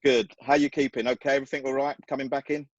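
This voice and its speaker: Upbeat host on a dull call. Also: male voice, mid-range voice, level tone, no echo. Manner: upbeat, quick to praise, laughs often. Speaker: host and organizer. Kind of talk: casual hosting on a video call. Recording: dull dry video call audio.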